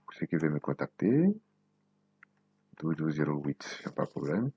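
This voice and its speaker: A man in his twenties from Benin, whose first language is Nago, hosting a coding tutorial and thinking aloud slowly as he types, repeating words to himself. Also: rumbling low, very animated, mellow, slightly rough, no echo, highs cut off. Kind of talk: casual screen-recorded coding tutorial.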